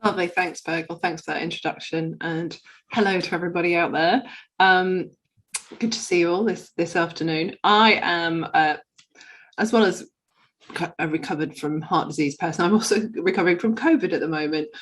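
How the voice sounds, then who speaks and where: A woman's voice: lowish voice, normal speed, fairly bright tone. Chatty woman, slightly nasal from a cold. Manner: chatty, with many ums and restarts. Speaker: guest speaker. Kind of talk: casual personal talk.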